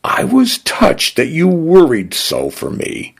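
A man's voice: on the high side, wildly swooping pitch, takes it slowly, creaky, slightly rough, voice pushed up, heavily acted. A man voicing a wry, knowing rogue with a fond, world-weary manner.

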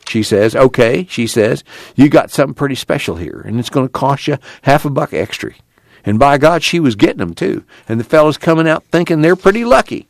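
A man's voice: mid-range voice, expressive, brisk, vocal fry, no room sound, pitched above the performer's own voice. A man voicing a brash, impatient young working man with folksy, blunt, profane rural speech in an audiobook. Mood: blunt, needling. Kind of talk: acted audiobook dialogue.

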